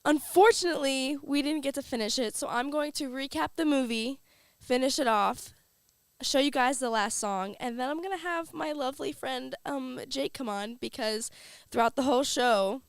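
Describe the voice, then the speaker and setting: A woman's voice: sits high, moderate pace, smooth, dry recording. A young woman hosting a casual student radio show while fighting a cold, chatty and full of filler words. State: has a cold. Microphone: studio microphone.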